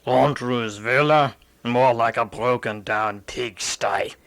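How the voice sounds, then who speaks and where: An actor playing a gruff, superstitious little village milkman in a radio drama, ominous and reluctant. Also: mid-range voice, slow, a bit bright. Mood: grumpy, reluctant.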